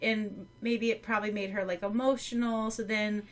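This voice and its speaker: A woman's voice: mid-range voice, little pitch movement, moderate pace. A woman co-hosting a podcast, chatting loosely about a story chapter and giggling often. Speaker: podcast co-host. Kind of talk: casual book-club chat.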